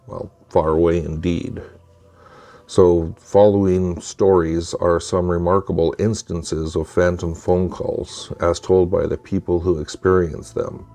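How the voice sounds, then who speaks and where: A man with a very low voice narrates creepy tales from a script. His tone is dark and soft-edged, and he delivers each line in a measured, deliberate way, as a storyteller would. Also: flat delivery. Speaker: narrator of true scary stories.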